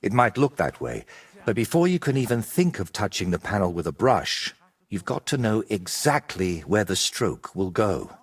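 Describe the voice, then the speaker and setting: An actor playing an ambitious, vain medieval painter in a video game, speaking in an articulate, theatrical and persuasive way. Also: male voice, on the low side, big pitch swings, normal speed, slightly rough. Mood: self-assured. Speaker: painter character. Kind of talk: video game cutscene dialogue.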